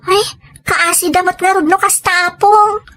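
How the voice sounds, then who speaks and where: A woman's voice: extremely high pitch, fairly even intonation, normal speed, pushed and bright, a little hiss or hum. A woman playing a caring young granddaughter on a phone call in a family drama. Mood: worried.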